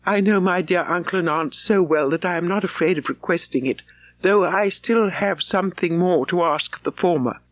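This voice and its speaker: A woman voicing an anxious, gentle young gentlewoman in a refined, sorrowful, earnest manner, as in an audiobook of a classic novel. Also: on the low side, moderate pace, no echo, highs cut off, lightly acted. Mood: distressed, pleading.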